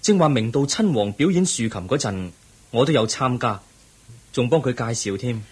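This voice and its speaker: An actor with a Hong Kong Cantonese accent playing a pensive, earnest young crown prince in a radio drama, speaking formally and reflectively. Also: male voice, mid-range voice, lively intonation, moderate pace, a little mellow, slightly rough. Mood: calm, resolute.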